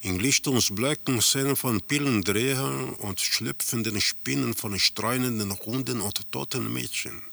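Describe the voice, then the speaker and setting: A man playing a detached narrator in a literary radio drama, describing bleak, surreal scenes deliberately in a gravelly voice. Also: medium pitch, a bit bright. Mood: ominous, detached. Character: male narrator of a bleak, surreal travelling salesman tale.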